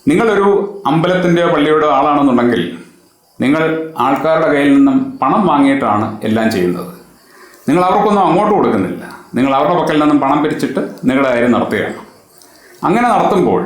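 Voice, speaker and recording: A man delivering an admonishing, emphatic opinion monologue straight to the public, posing rhetorical questions. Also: on the high side. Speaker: social commentator. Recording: very echoey, reverberant room.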